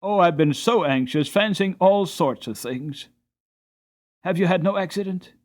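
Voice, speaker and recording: A man's voice: high voice, expressive, unhurried, a little mellow, pitched above the performer's own voice. A man voicing an anxious, affectionate lady of the house in a storybook, fretful and tender. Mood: anxious, relieved.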